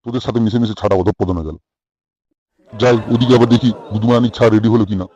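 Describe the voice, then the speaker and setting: A man's voice: lowish voice, steady tone, fast, warm tone, lo-fi sound, natural voice. A man voicing an irritable, crude middle-aged village father in a comic cartoon, scolding bluntly with a West Bengal accent. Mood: gruff, scolding.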